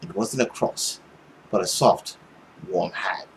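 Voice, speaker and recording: A young man reading a visual novel aloud as a gaming host, expressive and theatrical, recorded at home. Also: sits low, slow, a little hiss or hum, poor audio. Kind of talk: dramatic read-aloud narration with reactions.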